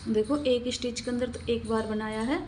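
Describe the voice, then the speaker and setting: Higher-voiced woman giving step-by-step instructions. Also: level tone, a little fast, a little mellow, slight background noise. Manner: repetitive, instructional, counting aloud. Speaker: craft tutorial instructor. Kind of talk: step-by-step crochet tutorial narration.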